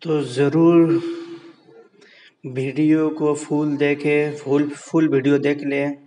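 A man's voice: a little high, level tone, warm tone, in a large hall. A man narrating a home cooking video step by step at the stove, repeating himself and urging viewers to subscribe. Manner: slow, repetitive, keeps urging viewers to subscribe. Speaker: cooking vlogger.

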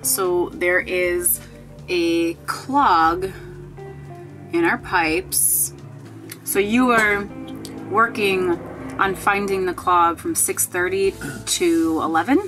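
A woman's voice: on the low side, extremely slow, pauses often, fairly bright tone, faint music behind. A woman in her thirties talking casually to a handheld camera in a small wooden boat cabin, wry and laughing at her mishaps. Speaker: sailing vlogger. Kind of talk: casual vlog talk to camera. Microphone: handheld camera at arm's length.

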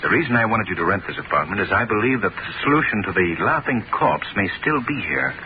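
An actor playing a confident, wry gentleman detective, brisk and explanatory, in old-time radio style. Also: fairly low pitch, lively intonation. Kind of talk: 1940s radio acting. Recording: muffled, noisy old-time radio recording.

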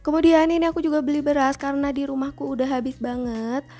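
A woman's voice: sits high, level tone, fast talker, mellow, faint music behind. A young woman vlogger chatting through a grocery shopping trip, often asking viewers to comment below.

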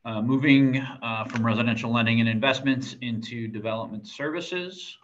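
A man chairing a board meeting over a video call, calling motions in a brisk, procedural way. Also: medium pitch, fairly bright tone, a little hiss or hum. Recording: dull lo-fi call audio.

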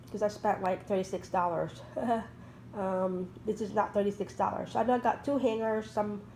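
A woman's voice: mid-range voice, a little hiss or hum, poor audio. A woman in her thirties with a congested, stuffed-up nose, chatting casually and rambling with many ums while showing items at home. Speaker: vlogger. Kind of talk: casual haul video. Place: home, at a table.

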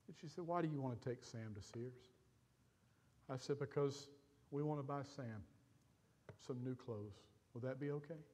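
An older man preaching from a church stage, telling a personal story with pauses and feeling. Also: mid-range voice, mellow. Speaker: pastor. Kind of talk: sermon storytelling.

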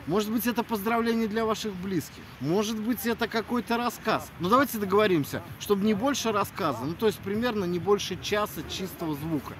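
Man, thirties, high-pitched, earnest video greeting, recorded outdoors with clear city noise. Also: a little fast, few pauses. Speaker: audiobook narrator addressing listeners. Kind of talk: casual video greeting to followers. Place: outdoors in a snowy city square at night. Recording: noisy outdoor city square, traffic ambience.

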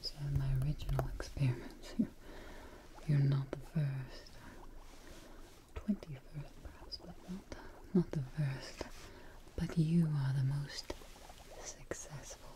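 A young woman whispering softly and soothingly, close to the mic, in an ASMR roleplay as a calm, eerie scientist. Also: low-pitched. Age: young adult. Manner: soft, slow, soothing, hushed close-up whispering. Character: calm, eerie female creature-maker scientist tending her experiment. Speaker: ASMR performer. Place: small dark indoor setup. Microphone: close microphone. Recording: close whisper with clear noise and clicks.

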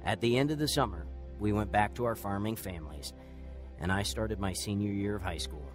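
Actor as earnest low-voiced man recalling bitter youth. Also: brisk, soft background music, close to an everyday voice. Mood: reflective. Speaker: narrator and lead actor. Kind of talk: scripted first-person radio drama narration.

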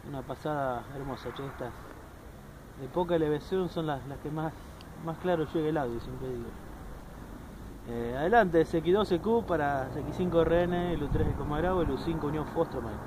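An Argentine man, around his thirties, talking casually as an amateur radio operator and reading out call signs. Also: normal speed. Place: outdoors at night on sandy open ground. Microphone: camera a few steps away. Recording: noisy outdoor recording with vehicle-like rumble.

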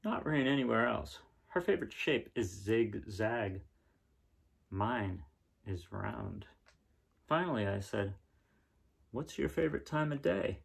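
Husky, creaky-voiced man reading aloud. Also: fairly low pitch, expressive, slow, long pauses. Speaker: reader of children's picture books. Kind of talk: gentle read-aloud storytelling and casual chat. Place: small living room indoors, seen on camera.

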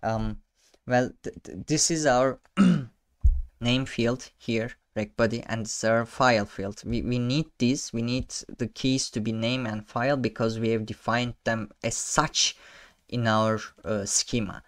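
Man, Turkish native speaker, young adult, unhurried delivery, mid-pitched, halting with fillers, casual coding walkthrough. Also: fairly even intonation. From Turkey. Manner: halting, many fillers and restarts, self-amused. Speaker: programming tutor. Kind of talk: casual screen-share coding tutorial. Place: small room indoors.

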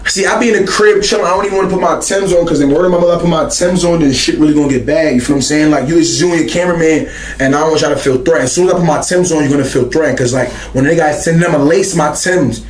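A high-voiced man riffs casually on a livestream, talking very quickly. He teases in heavy slang and hammers the same phrase again and again. The room around him echoes. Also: slight background noise. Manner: slangy, teasing, repeats phrases insistently. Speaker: guest on a livestream. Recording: roomy, echoey sound.

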